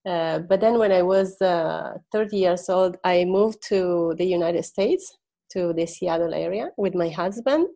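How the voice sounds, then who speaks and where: A woman's voice: on the low side, unhurried, vocal fry, dark tone. A woman in her forties with an Italian accent, a native Italian speaker chatting casually as a podcast guest and searching a little for words. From Italy. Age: 40s. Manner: hesitant, searching for words, often laughing. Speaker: podcast guest, life coach. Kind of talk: casual personal storytelling in an interview.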